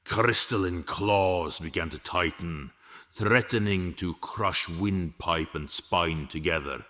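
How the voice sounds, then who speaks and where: A man's voice: sits low, slow pace, bright, forceful tone. A man narrating an epic fantasy story in a dramatic, scripted storytelling style. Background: music.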